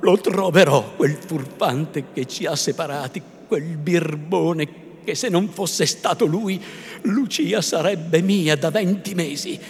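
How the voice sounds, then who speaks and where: A man voicing a desperate young man who stammers and then vows revenge loudly, recorded in an echoey hall. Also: fairly high pitch, slow pace. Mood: vengeful fury. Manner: stammering, then vengeful and loud. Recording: echoey hall, faint ambience.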